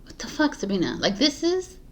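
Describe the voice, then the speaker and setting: A woman's voice: sits high, wildly swooping pitch, a little fast, fairly bright tone. A woman tarot reader chatting casually to camera, laughing at herself, restarting often and losing her voice. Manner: giggly, scattered, restarts often. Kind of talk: casual spiritual talk to camera.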